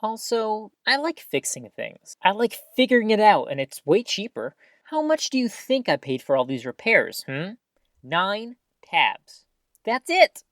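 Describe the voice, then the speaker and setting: A woman's voice: medium pitch, big pitch swings, unhurried, dry recording, studio quality. A woman playing a stubborn, playful radio co-host in an audio drama, with a theatrical, mock-formal, quibbling manner. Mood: earnest, pleading. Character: stubborn, playful radio host who loves old tech.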